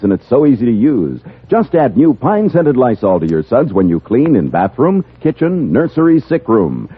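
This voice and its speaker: A man's voice: mid-range voice, husky. A man announcing a 1950s radio advertisement in an emphatic, scripted salesman's delivery. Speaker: commercial announcer. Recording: muffled, narrow-band old radio broadcast.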